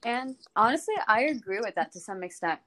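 A woman's voice: a little high, lively intonation, brisk, sharp tone. A young woman from the United States with an American accent, sharing personal anecdotes informally and explaining as she goes in a group discussion.

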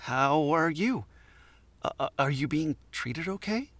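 A man's voice: a little high, expressive, measured pace, a bit bright. A man playing an awkward, scatterbrained anthropologist in an audio drama, stammering and hesitant as he talks. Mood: uneasy, hesitant.

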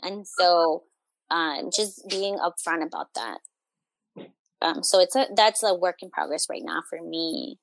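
A woman's voice: lowish voice, measured pace, gravelly, no echo, low-quality recording. A young adult woman talking casually as an interview guest, in an informal, hesitant, meandering and self-reflective way. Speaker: family therapist, interview guest.

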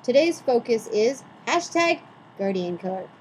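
A woman's voice: a little high, big pitch swings, a little slow, darkish tone, slight background noise, rough recording. A woman co-hosting a podcast, running segments and reading a recap in a mock-dramatic, theatrical way. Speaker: podcast co-host.

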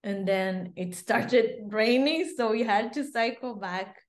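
Slow-speaking woman, reflective. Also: a little high. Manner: warm, reflective, repeats herself for emphasis. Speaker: guest, bride-to-be. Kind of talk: casual storytelling.

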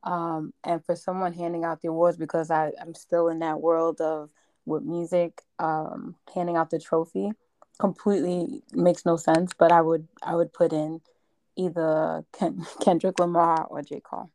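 A woman from New York, a podcast guest, chatting in a raspy, strained voice that is hoarse from yelling, quick to break off and correct herself. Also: low voice, flat delivery, no room sound. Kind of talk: casual podcast interview.

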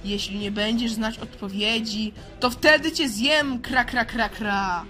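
A woman's voice: on the high side, a little slow, sharp tone, soft background music. A woman voicing a menacing male crow-man monster who caws between phrases in a children's audio play. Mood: threatening. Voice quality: squawking, cawing. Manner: punctuates speech with crow caws.

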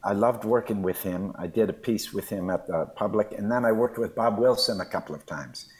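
A man's voice: fairly low pitch, fairly quick, a little mellow, rough recording. An older man chatting as a podcast co-host, thoughtful and hesitant with many fillers. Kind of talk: casual conversation.

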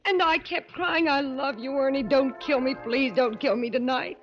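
An actress playing a frightened, distraught wife recounting a terrible nightmare in 1940s radio drama style. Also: female voice, high voice, steady tone, normal speed, close to an everyday voice. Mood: terrified, pleading. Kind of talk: 1940s radio mystery acting. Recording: muffled old radio recording, music behind.

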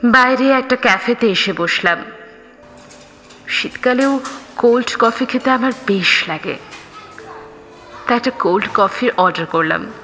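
A woman reading a scripted first-person story expressively, with dramatic pauses. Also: fairly high pitch, lively intonation, pushed and bright. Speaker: audio story narrator. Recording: reverberant, music underneath. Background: background music.

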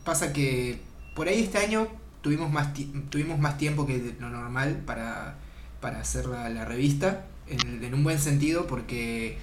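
A man's voice: medium pitch, moderate pace. Man in an echoey, noisy room. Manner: relaxed, rambling with fillers. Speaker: podcast host. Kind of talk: casual chat. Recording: echoey room with clear noise.

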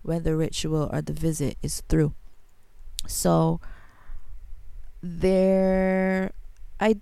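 Gravelly-voiced Barbadian woman podcaster. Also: lowish voice, level tone, very slow, mellow, no echo. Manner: earnest, reflective storytelling. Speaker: podcast host. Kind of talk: solo podcast monologue.

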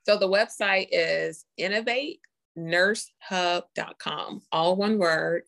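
Woman being interviewed, lots of vocal fry, explains her work, keeps tagging points with right?. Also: lowish voice, slow, fairly bright tone. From North Carolina, born in Costa Rica. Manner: often ends points with a checking "right?". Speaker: interview guest, nurse informaticist and health tech founder.

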